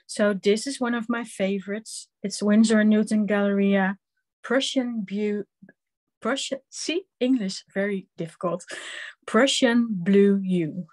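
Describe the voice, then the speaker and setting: A Dutch woman with a Dutch accent teaching an online craft class, talking her way through a hands-on demonstration with many pauses and ums. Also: mid-range voice, steady tone, darkish tone, poor audio. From Netherlands. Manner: slow, halting, many ums, hands-on explaining. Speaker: craft instructor.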